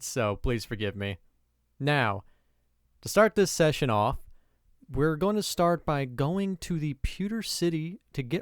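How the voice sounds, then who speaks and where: A young man hosting a let's play with casual commentary, his voice a little off from allergies, who swears and rants in sudden excited bursts. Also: mid-range voice, clean tone, no room sound, studio quality. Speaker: gaming video host.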